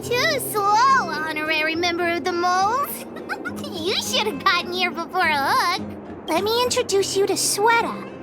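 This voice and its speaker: A woman's voice: very high voice, expressive, measured pace, loud music behind the voice. An actress voicing a feisty, bossy little girl in a video game, with a brash childlike manner. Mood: eager, bossy. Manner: brash, childlike, third-person self-talk. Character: feisty, bossy little girl, self-styled gang leader. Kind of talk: animated video game dialogue.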